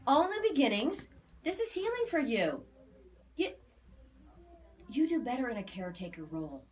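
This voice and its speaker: An American woman explaining an aura reading to clients in a casual, emphatic, animated way, repeating phrases for emphasis. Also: a little high, moderate pace. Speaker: aura reader.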